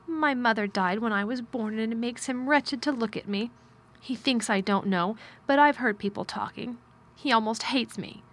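A woman's voice: fairly high pitch, moderate pace, a bit bright. A woman voicing a sickly, fretful young boy in an audiobook, plaintive and peevish in manner. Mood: sullen, resigned. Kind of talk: audiobook character dialogue.

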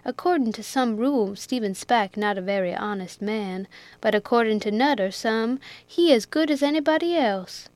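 A woman's voice: on the high side, normal speed, a little mellow, no echo, lightly acted. A woman reading aloud, voicing a good-natured male ship's steward with a heavy rustic dialect and a drawling, moralizing manner. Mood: folksy.

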